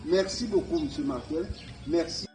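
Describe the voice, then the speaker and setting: A man's voice: fairly high pitch, normal speed, darkish tone, reverberant, soft background music. A man, a school official, gives emphatic thanks, repeating key words and reaching for a proverb. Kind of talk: formal thank-you speech.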